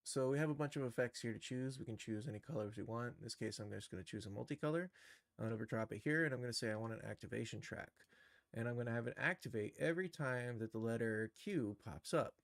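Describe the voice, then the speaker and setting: A man giving a casual live software tutorial, informally thinking aloud as he works. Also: mid-range voice, fast, gravelly, dry recording. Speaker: streamer teaching game-engine animation.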